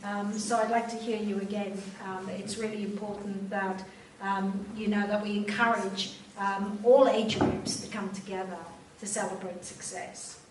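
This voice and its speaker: A woman's voice: medium pitch, flat delivery, moderate pace, background noise. A woman with a Welsh accent, a chief executive giving an informal speech to an audience at a volunteer event, with frequent ums and questions put to the listeners. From Wales. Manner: warm, informal speaking with many ums and direct questions to the audience. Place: large room at a volunteer event. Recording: echoey room, voice from far off.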